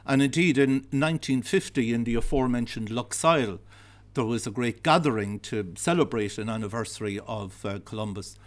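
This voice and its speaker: A man's voice: medium pitch, normal speed. A man in his fifties with an Irish accent giving a public history lecture in a hesitant, discursive way. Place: darkened heritage centre auditorium.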